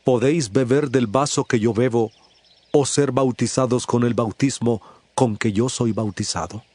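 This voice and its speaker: An actor playing an authoritative male teacher addressing his disciples in a solemn, measured, declamatory way in a dramatized scripture reading. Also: mid-range voice, darkish tone, no echo, close to an everyday voice. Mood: firm, teaching.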